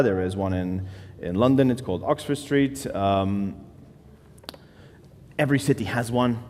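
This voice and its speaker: A man from Slovenia talks loosely from a conference stage, trailing off into fillers and restarting phrases. His voice sits in a middle pitch, and a long reverb from the large hall follows each line. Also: a little mellow. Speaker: conference speaker. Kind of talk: conference talk.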